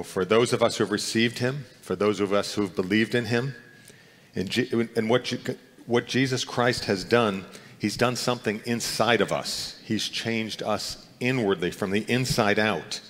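Middle-aged male pastor, conversational earnest sermon, lower voice, reverberant hall. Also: measured pace, a bit bright. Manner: earnest, conversational preaching with restarts. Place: church. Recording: long reverb of a church hall.

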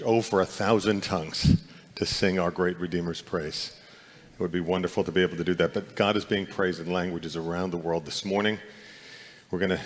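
Middle-aged male pastor, conversational earnest sermon, lower voice, reverberant hall. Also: a bit bright. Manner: earnest, conversational preaching with restarts. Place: church. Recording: long reverb of a church hall.

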